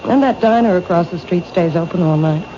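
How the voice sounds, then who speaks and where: Actress for reserved woman bookseller, quiet, polite, old radio drama. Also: fairly low pitch, a little fast, natural voice. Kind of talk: 1970s radio drama acting. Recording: dull old radio broadcast with hiss.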